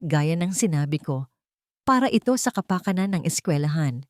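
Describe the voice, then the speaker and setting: A woman voicing a cold, powerful male executive with a hidden soft side, reserved and businesslike, in an audio romance. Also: sits low, expressive, moderate pace, dry recording, clean studio sound.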